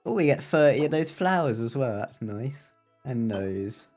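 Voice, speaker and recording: A man's voice: medium pitch, expressive, unhurried, darkish tone, soft background music. A man giving casual live gameplay commentary as a streamer, with chatty asides.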